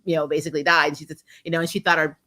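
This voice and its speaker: A woman's voice: sits low, flat delivery, very fast, sharp tone. A woman hosting a casual, chatty TV recap livestream in an informal, giggly, rambling way, often correcting herself. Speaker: host of a TV show recap livestream. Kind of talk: casual chatty episode recap with acted-out quotes.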